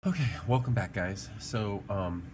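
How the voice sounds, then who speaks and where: A man's voice: fairly low pitch, unhurried. A man giving a casual drawing tutorial, thinking aloud in an informal, encouraging, musing way as an art teacher. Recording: clearly audible background noise.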